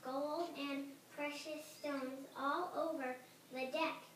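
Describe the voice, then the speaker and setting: A child reading a storybook aloud haltingly, stumbling over words and correcting them. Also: high-pitched, steady tone, fairly bright tone. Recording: roomy, distant home recording, faint noise.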